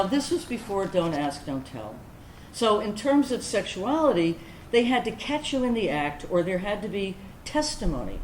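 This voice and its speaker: Actress as candid woman veteran, animated, echoey room. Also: fairly low pitch. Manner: confessional, conversational storytelling, wry, with deliberate pauses. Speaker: actor in a staged reading. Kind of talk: dramatic first-person monologue in a staged reading. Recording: roomy echoing stage recording, background noise.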